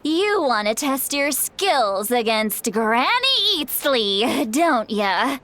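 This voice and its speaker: A woman's voice: high voice, very animated, slow, very noisy, heavily acted. An actress playing a grumpy, tipsy young-looking shaman granny in an anime game, drawling and touchy. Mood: tipsy indignant.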